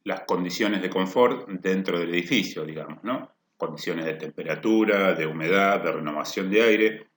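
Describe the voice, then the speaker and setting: A man's voice: low-pitched, moderate pace, hardly any pauses, fairly bright tone, slight background noise. A man giving a technical workshop lecture, explaining step by step and often rephrasing his points to check the audience follows. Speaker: energy efficiency lecturer. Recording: echoey room.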